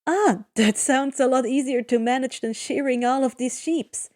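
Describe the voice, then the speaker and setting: A woman's voice: sits high, darkish tone, close to an everyday voice. A woman reading as a hesitant, wary ship captain. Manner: hesitant, halting speech. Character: cautious, wary adult male ship captain.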